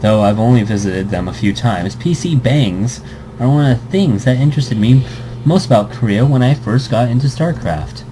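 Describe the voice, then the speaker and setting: A man's voice: mid-range voice, expressive, moderate pace. A man hosting a let's play, reading a visual novel aloud with commentary, tripping over words and restarting. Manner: stumbles and restarts words, chuckling asides. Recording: air conditioning hum behind.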